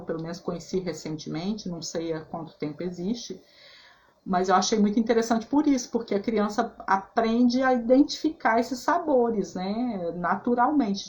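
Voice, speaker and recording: A woman's voice: mid-range voice, slight background noise. A woman therapist explaining food and emotions in a chatty, conversational way, often checking in with "né?" and "tá?" and restarting her sentences. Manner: chatty, frequent "né" and "tá" tags, restarts. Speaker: holistic therapist. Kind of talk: casual explanatory talk.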